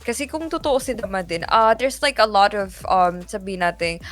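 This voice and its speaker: A woman with a Filipino accent analysing an esports match on a panel, talking in a halting, rambling way in mixed English and Tagalog. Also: mid-range voice, expressive. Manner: halting, rambling, many hedging phrases. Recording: music bed under the talk. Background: music.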